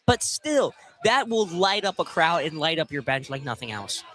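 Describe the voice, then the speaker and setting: Male color commentator for college basketball, fairly high and bright voice, chatty analysis between plays. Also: big pitch swings, moderate pace, slight background noise. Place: college field house during a game.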